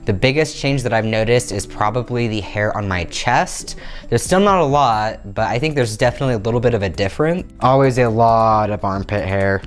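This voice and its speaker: A man's voice: fairly low pitch, brisk. Young guy chatting to his camera, upbeat and open, clip-on mic, little room, faint music underneath. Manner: upbeat and candid, animated hand gestures. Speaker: vlogger. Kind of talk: casual vlog to camera. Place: small bedroom indoors. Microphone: clip-on lapel mic.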